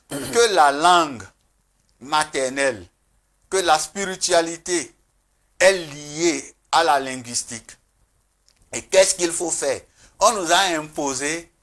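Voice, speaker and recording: A man speaking as a traditional spiritual guest on a TV talk show, earnest and emphatic, with pauses and restarts. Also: on the high side, animated, fairly bright tone.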